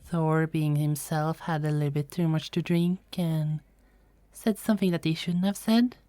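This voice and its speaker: A woman's voice: low voice, steady tone, normal speed, warm tone, dry recording, natural voice. A woman playing a teasing, kindly Norse goddess in a conversational fantasy audio roleplay. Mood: gentle, chatty. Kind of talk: fantasy ASMR roleplay acting.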